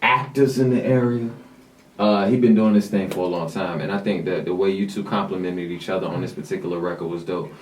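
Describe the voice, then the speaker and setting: A man with a Virginia accent hosting a radio interview, relaxed and affirming, often saying "man". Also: sits low, brisk. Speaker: radio show host. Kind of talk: casual interview chat. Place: small radio studio. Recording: roomy studio, distant mic, faint noise.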